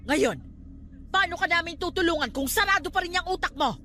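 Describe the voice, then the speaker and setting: An actress playing a stern, superstitious aunt who scolds and admonishes in a Filipino radio drama. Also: female voice, very high-pitched, fairly quick, pushed and bright, slight background noise. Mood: exasperated scolding.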